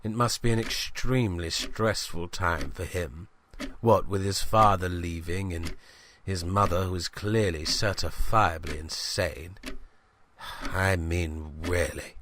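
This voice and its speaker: A man's voice: sits low, takes it slowly, a bit bright, slight background noise. A man playing a self-important novice small-town reporter, formal and dismissive, in a scripted comic audio diary. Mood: pompous, dismissive. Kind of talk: scripted comedy narration, journalist's audio diary.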